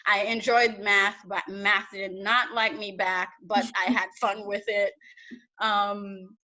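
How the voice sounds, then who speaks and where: A young woman from Haiti talks casually over a video call, telling lively stories with frequent fillers. Her pitch sits in the middle range. The audio is dull and lo-fi, picked up by a laptop mic in a small room. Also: takes it slowly. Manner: animated storyteller, many fillers. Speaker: research data analyst, guest. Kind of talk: casual interview chat. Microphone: laptop webcam mic on video call.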